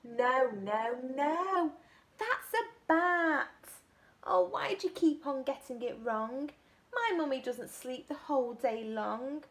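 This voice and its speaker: A woman's voice: high-pitched, takes it slowly, a bit bright, full character voice. Woman voicing a fretful little monkey. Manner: rising cries of protest, sing-song rhyme. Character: small anxious little monkey looking for mum. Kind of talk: storybook read-aloud.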